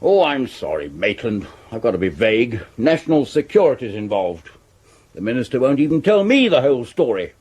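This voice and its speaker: An actor playing a brusque, pompous Victorian police commissioner with an upper-class English accent in a radio drama. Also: male voice, medium pitch, very animated, a little fast, darkish tone, slightly rough. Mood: grumbling. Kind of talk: 1970s radio drama acting.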